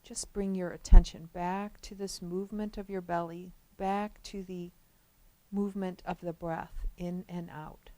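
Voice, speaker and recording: A woman leading a guided relaxation as a meditation instructor, speaking gently and without hurry, with long pauses between phrases. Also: on the low side, little pitch movement, low-quality recording.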